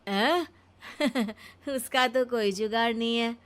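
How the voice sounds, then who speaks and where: A woman's voice: a little high, slow pace, a bit bright, clear, resonant tone. A woman voicing a boastful male fixer with connections, casual and offhand, in a story.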